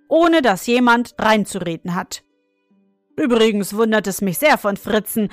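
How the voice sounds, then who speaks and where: A woman reading aloud, voicing a firm, fair-minded father who speaks in a measured, admonishing way. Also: very high voice, a bit bright, dry recording.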